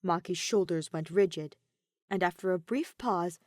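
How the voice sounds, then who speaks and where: A woman's voice: on the low side, normal speed, darkish tone, dry recording, studio quality. A woman reading scripted fiction as an audiobook narrator in a dramatic style.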